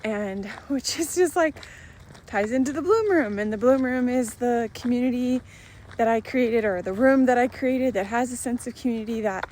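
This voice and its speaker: Middle aged lady vlogging, kinda high voice, rambly casual chat, giggles a lot. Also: moderate pace, darkish tone, a little hiss or hum. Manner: rambling, chatty, giggles often.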